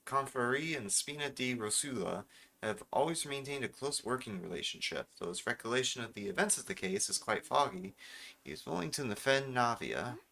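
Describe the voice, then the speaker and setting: A man's voice: mid-range voice, animated, a little fast, gravelly, bright, forceful tone. A man streaming a game with casual, playful commentary, sometimes reading choices aloud.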